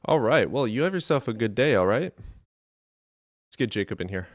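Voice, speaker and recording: A man's voice: medium pitch, expressive, fairly quick, no echo, studio quality. A man hosting a call-in debate show, asking callers direct questions. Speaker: host.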